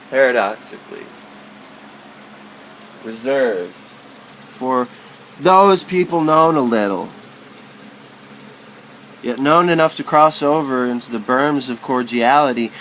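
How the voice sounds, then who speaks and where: A man giving a literary spoken-word recitation in a theatrical, halting, wordy way, stumbling and repeating himself. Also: mid-range voice, a bit bright, a little hiss or hum, rough recording.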